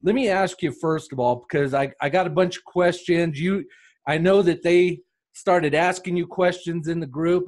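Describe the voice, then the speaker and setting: A man hosting a casual interview, asking questions in an upbeat, encouraging way. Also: high voice. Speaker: host.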